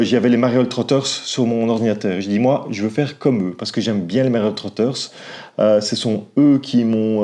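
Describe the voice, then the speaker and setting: Belgian man, 40s, vlog co-host, warm mellow tone, casual hesitant chat on lapel mic. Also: mid-range voice. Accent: Belgian French. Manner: chatty, with frequent hesitations. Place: covered porch beside a house. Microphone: clip-on lapel mic.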